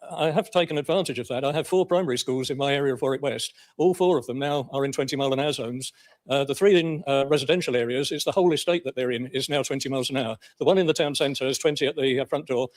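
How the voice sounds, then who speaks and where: A man's voice: medium pitch, quick pace. An English man speaking formally as a councillor in a debate, in a matter-of-fact way. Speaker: county councillor.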